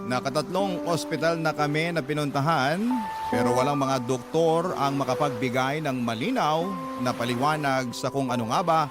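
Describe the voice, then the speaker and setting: A male radio host with a slightly high voice. He tells a listener's story in the first person, dramatically, with loud music running behind him. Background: prominent background music.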